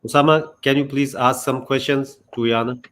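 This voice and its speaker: A man hosting a video call Q&A, unhurried with hesitant fillers. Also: mid-range voice, fairly even intonation, a bit bright. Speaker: host.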